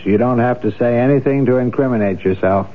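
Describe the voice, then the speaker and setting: A sardonic, unimpressed police lieutenant played by a man in a seventies radio crime play. His mid-pitched voice sounds narrow and lo-fi. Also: brisk, natural voice. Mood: sardonic, firm. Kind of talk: 1970s radio drama acting. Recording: phone-thin old radio broadcast.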